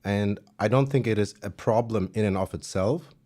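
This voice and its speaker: A man with a German accent chatting casually on a music livestream, relaxed and self-deprecating, with plenty of fillers. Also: fairly low pitch, moderate pace.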